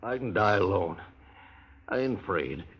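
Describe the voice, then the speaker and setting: An American man playing a wounded, blunt, plain-spoken man of the Old West in a 1950s radio western, with a gravelly voice and folksy speech. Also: low-pitched, expressive, normal speed, lo-fi sound. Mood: dying, resigned. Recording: faintly noisy old radio recording.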